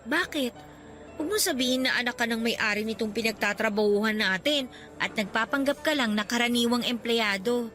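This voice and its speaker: A woman's voice: fairly high pitch, fairly quick, a bit bright, faint music behind, lightly acted. A woman playing a shy, insecure young co-worker, hesitant and lightly teasing, in radio drama dialogue. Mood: doubtful, teasing. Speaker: drama voice actor.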